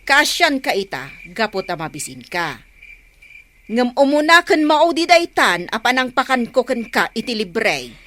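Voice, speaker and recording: A woman with an Ilocano accent playing a scolding but soft-hearted village storekeeper in a radio comedy drama, nagging and exasperated. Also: fairly high pitch, sing-song, unhurried. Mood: grudging.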